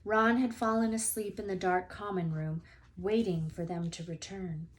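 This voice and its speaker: A woman narrating a children's novel as a read-aloud storyteller, expressive and deliberate. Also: medium pitch.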